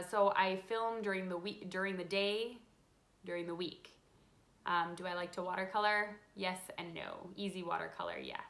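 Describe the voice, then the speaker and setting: A woman in her thirties hosts a relaxed live question-and-answer chat about card making from her home craft room, reading out viewer questions between frequent ums. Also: on the low side, creaky. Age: 30s. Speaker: craft channel host. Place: home craft room with a desk, lit by a window.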